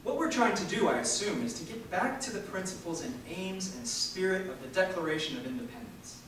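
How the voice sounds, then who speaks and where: A man reading a political speech aloud at a public event in an emphatic, oratorical way, with dramatic pauses. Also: high voice, expressive, fairly bright tone. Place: large echoey room at a live university event. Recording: echoey hall from far off, faint noise.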